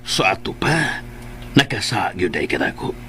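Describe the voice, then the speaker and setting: A man's voice: deep voice, big pitch swings, a little slow, over loud music. A man playing a teasing, affectionate boyfriend in a Filipino radio drama.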